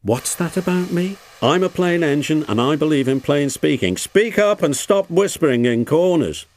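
A man's voice: low voice, lively intonation, slow, vocal fry, slightly rough, full character voice. A man voicing a grumpy, cocky, rude little steam engine in a storybook, blunt and huffy. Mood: indignant.

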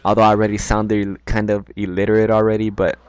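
A man's voice: on the low side, monotone, fast talker, clean tone, dry recording. A man with a Mexican accent giving casual, rambling, slangy commentary over his gameplay.

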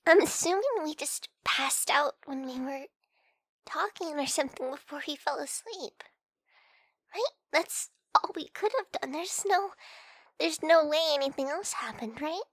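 A woman acts out a flustered young female friend in an intimate ASMR roleplay. Her voice is high and soft, with hesitant, stammering delivery. The sound is dry, with hardly any room in it. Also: measured pace, dry recording. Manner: hesitant, stammering, soft-spoken with breathy whispered moments.

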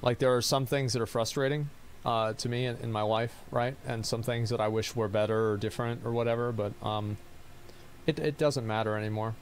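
A tired man in his thirties streaming, reading viewer questions and answering in a rambling monotone full of fillers and restarts. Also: mid-range voice, brisk, slight background noise.

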